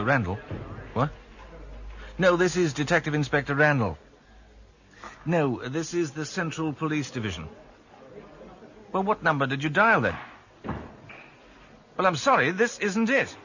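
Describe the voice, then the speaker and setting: Bright-voiced, wry, harassed detective inspector. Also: male voice, a little high. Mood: exasperated. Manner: dry, sardonic, grumbling. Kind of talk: radio drama acting. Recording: slightly dull, noisy radio drama recording.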